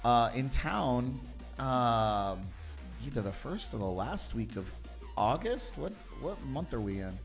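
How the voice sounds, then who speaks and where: A man's voice: medium pitch, lively intonation, slow pace, creaky, faint music behind. A man hosting a casual livestream chat, joking around and laughing easily. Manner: jokey, easygoing, laughs often. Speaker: podcast host.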